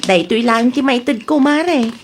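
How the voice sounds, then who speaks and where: A woman's voice: fairly high pitch, lively intonation, moderate pace, slight background noise. A woman playing a sly, evasive market vendor who whines and protests in a comedy drama. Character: sly, cheating market vendor wife, evasive when accused.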